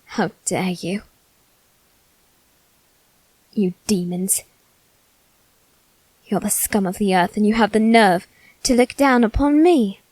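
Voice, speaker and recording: A woman plays a proud, self-loathing young nobleman, a boy with a medium-pitched voice in an ASMR character drama. He speaks slowly and haltingly, with formal, theatrical phrasing. The sound is dry and free of room echo. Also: a little mellow, breathy edge. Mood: indignant. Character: proud, wounded, self-loathing aristocratic boy facing his demon.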